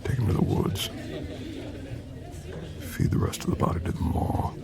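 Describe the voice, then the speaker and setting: A man playing a menacing, possessive supernatural boyfriend in a romantic ASMR roleplay, speaking in an intimate, brooding and often breathy way. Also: expressive, a crowd in the background, exaggerated voice. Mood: jealous menace. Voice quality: deep, gravelly, often breathy and whispered. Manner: slow, brooding, teasing intimate delivery.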